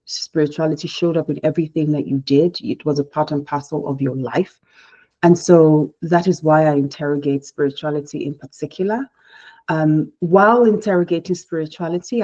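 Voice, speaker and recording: Woman from Nigeria, Igbo first language, low voice, measured panel talk, restarts sentences, muffled sound. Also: a little mellow. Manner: measured, reflective, with frequent restarts. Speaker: panel speaker, researcher.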